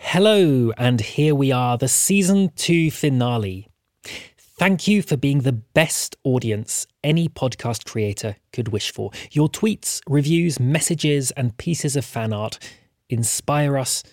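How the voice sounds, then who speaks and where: A man's voice: mid-range voice, animated, takes it slowly, a little mellow, dry recording. Dry-sounding man introducing a podcast. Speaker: podcast creator introducing the episode.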